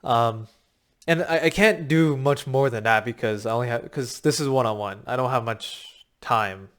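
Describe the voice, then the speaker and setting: A man with a slightly raspy, worn voice, coaching viewers on a crypto game in a rambling, filler-heavy pitch, a little short of breath. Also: moderate pace. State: short of breath from long talking. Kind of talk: casual talking-head explainer.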